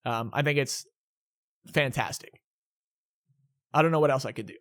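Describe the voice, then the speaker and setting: A man's voice: medium pitch, normal speed. A young man answering viewer questions in a casual, rambling vlog at home, often restarting his sentences. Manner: rambling, self-correcting, laid-back. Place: indoors at home.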